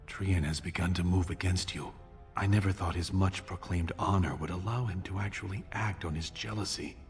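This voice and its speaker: Deep-voiced scheming dwarf prince. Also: male voice, fairly even intonation, soft background music. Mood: grave warning. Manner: measured, persuasive, conspiratorial. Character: smooth-talking scheming young dwarf prince, younger brother. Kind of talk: video game dialogue.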